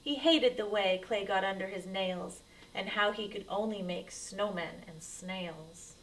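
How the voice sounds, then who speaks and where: A woman reads a rhyming picture book aloud for children. Her delivery is dramatic and emphatic, at a medium pitch. She sounds a little distant from the microphone. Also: unhurried, a little hiss or hum. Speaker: read-aloud storyteller.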